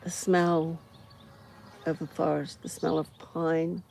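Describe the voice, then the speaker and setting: A woman with a New Zealand accent musing aloud in short unhurried phrases with pauses, in an unscripted personal ramble recorded outdoors. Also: deep voice, flat delivery, gravelly, a little mellow, a little hiss or hum. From Auckland, New Zealand. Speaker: host of a personal ramble vlog. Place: outdoors on a farm doorstep. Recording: outdoor ambience, buzzing flies and insects. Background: flies, insects, farm animals.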